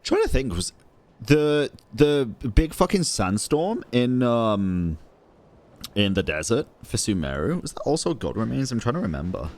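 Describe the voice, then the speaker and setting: A man's voice: mid-range voice, big pitch swings, a little slow, a little mellow. Swearing young man reacting to a game. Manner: casual, swears a lot, thinks aloud. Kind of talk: casual reaction commentary.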